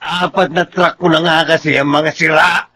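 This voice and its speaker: A man's voice: fairly high pitch, steady tone, moderate pace, pushed and bright, breathy edge, exaggerated voice. A man with a Filipino accent playing a troubled, irritable trucking boss in a radio drama. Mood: worried, grumbling. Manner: complaining, exasperated. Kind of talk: Tagalog radio drama acting.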